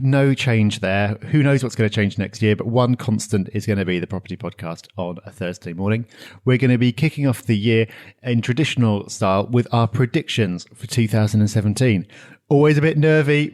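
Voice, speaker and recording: A British man co-hosting a podcast, briskly wrapping up the show and plugging events. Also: medium pitch, a little mellow. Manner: brisk, wraps up and plugs events. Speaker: podcast co-host. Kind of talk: casual podcast chat.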